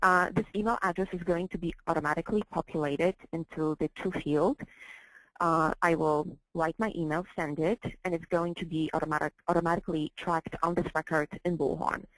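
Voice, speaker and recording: A woman working as a software trainer, walking through slides in a deliberate, somewhat hesitant way and repeating phrases as she explains. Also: on the low side, fairly even intonation, no echo, poor audio. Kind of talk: slide-based training walkthrough.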